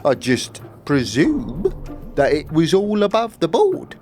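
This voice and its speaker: A man's voice: a little high, wildly swooping pitch, slow, darkish tone, faint music behind, full character voice. A man playing a wheedling, shifty old junk trader in a fantasy audio drama, folksy and fond of questioning tags. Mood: sly. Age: older.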